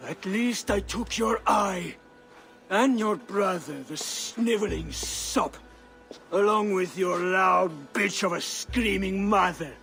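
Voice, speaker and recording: An actor playing a defiant captive villain in a video game, taunting and sneering. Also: male voice, high-pitched, takes it slowly, vocal fry, pushed and bright, soft background music, exaggerated voice. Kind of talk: video game cutscene acting.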